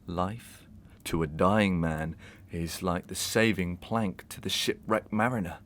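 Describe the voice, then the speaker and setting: An actor playing a guilt-racked, tormenting gentleman murderer in a Victorian audio melodrama, with theatrical, deliberate, archaic delivery. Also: sits low, steady tone. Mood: tormented, defiant. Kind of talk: Victorian stage melodrama acting in an audio drama.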